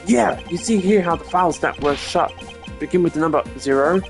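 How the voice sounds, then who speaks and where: A man's voice: on the high side, fairly quick, creaky, faint music behind, pitched above the performer's own voice. A man voicing a bumbling, earnest male police detective who stammers and keeps saying sir, in a fan dub of a video game. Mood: puzzled. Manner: stammering, calls everyone sir.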